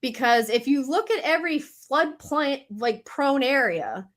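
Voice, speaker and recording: Frank animated woman. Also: sits high, a little slow. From Mississippi Gulf Coast. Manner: frank and animated, swears casually, laughs often. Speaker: guest scientist. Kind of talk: casual interview chat.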